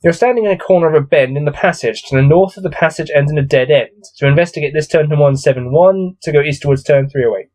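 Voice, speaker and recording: Weary, grumbling man with a slightly high voice. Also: fast talker, few pauses, a little mellow. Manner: weary, grumbling, exasperated asides. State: coming down with a cold. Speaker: solo gamebook reader and commentator. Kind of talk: reading a gamebook aloud with off-the-cuff commentary.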